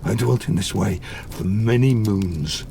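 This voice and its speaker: A man playing a lonely, wounded, eloquent monster in an audio drama, speaking slowly and solemnly. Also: lowish voice, creaky, dark tone, a little hiss or hum. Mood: haunted, sorrowful. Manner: slow, deliberate, solemn storytelling.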